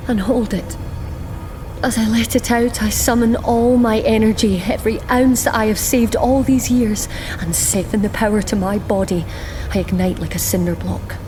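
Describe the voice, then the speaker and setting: An actress in an audio drama playing a defiant, scheming young goddess, haughty and intense. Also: female voice, a little high, natural voice, rough recording. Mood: resolute, intense. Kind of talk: audio drama acting with first-person narration.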